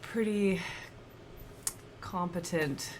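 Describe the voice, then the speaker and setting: A woman in her thirties chatting at home about her stitching, slightly raspy from a cold and laughing often. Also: lowish voice, drawn-out words, a little hiss or hum, low-quality recording. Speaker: cross-stitch vlogger. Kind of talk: casual chat to camera. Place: home, indoors.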